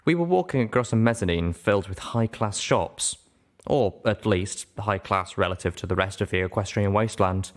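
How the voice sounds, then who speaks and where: Guy reading a story out loud audiobook style, kinda deep voice, puts feeling in it. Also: normal speed. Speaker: narrator reading a fan fiction story aloud. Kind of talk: expressive audiobook-style narration.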